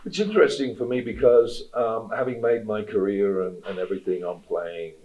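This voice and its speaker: Deep-voiced Australian man, candid and emphatic. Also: normal speed, a little mellow, highs cut off. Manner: candid and emphatic, talks freely. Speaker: actor being interviewed. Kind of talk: casual interview.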